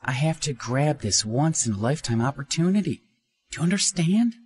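A man voicing a spoiled, pushy young woman streamer in a high, demanding, pleading tone. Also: expressive, brisk, darkish tone, lightly acted. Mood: indignant pleading.

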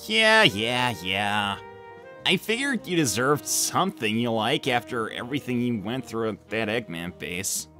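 A woman's voice: very deep voice, animated, a little slow, sharp tone, faint music behind, full character voice. A woman voicing a brash, cocky teenage male hedgehog hero in a fan cartoon, flippant and teasing. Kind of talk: fan-made cartoon voice acting.

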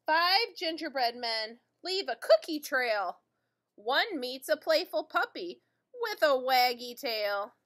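Female primary teacher, middle-aged, high-pitched and slow, singsong picture-book read-aloud for little kids. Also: big pitch swings, fairly bright tone. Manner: singsong, deliberate, addressing young children. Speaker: teacher reading to children. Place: indoor school classroom.

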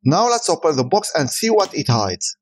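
Male tech reviewer, native Arabic speaker, higher-pitched voice with lively intonation, scripted product voice-over. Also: normal speed.

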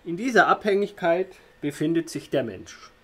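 A man in his thirties improvising a philosophical monologue to camera in a halting, contemplative manner, recorded indoors in a small room. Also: on the high side, expressive, a bit bright, breathy edge. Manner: halting, contemplative, with long pauses. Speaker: vlogger musing on spirituality.

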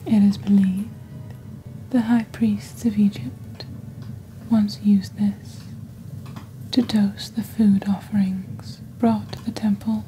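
A young woman playing a composed witch who presents a shopping channel in soft, breathy, half-whispered ASMR. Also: mid-range voice, little pitch movement, a little hiss or hum, close to an everyday voice, poor audio. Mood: composed, mysterious. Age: young adult. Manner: slow, deliberate, measured. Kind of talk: ASMR roleplay, scripted product presentation.

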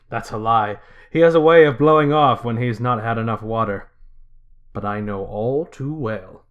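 Man acting demanding inn guest, brusque, medium pitch, dull recording, radio drama. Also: unhurried, lightly acted. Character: demanding male traveler at an inn. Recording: dull, muffled sound.

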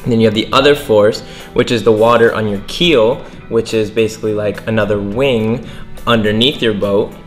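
A man's voice: fairly low pitch, steady tone, moderate pace, faint music behind. A young man hosting a casual lesson, explaining how sailing works in plain words while gesturing, indoors by a window. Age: young adult. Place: indoors by a window near the water.

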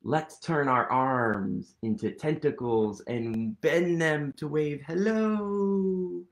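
A man in his thirties reading a picture book aloud to children, animated and playful, leading them in actions, recorded on a webcam in a small room. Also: fairly high pitch, takes it slowly. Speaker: storytime reader.

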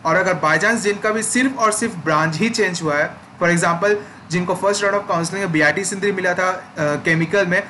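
A man's voice: high voice, normal speed, sharp tone, a little hiss or hum. A young man hosting a screen-recorded tutorial, explaining steps patiently and checking in often with listeners. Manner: explanatory, repeats "ठीक है" check-ins. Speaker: education channel host.

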